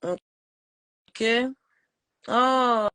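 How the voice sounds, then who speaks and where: Slow-paced woman hosting a casual chat. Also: fairly high pitch, bright, forceful tone, clean tone. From Portugal and Switzerland. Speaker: podcast host. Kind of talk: casual live interview chat.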